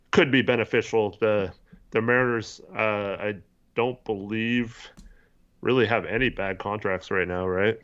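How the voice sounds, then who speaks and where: A man co-hosting a casual sports chat, measured and teasing, hedging his points. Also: fairly low pitch, smooth, muffled recording. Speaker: podcast co-host.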